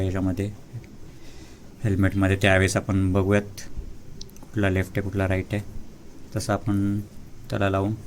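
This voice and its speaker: A man from India gives a casual, unscripted product walkthrough, halting between short phrases. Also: deep voice, a little hiss or hum. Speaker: vlogger presenting a product unboxing.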